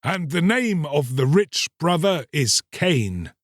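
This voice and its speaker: Guy doing a bitter poor man in a fairy tale, slow and challenging, raspy edge, old-timey words. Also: a little high, very animated, no room sound, clean studio sound, voice pushed up, full character voice. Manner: rhetorical, challenging, archaic speech.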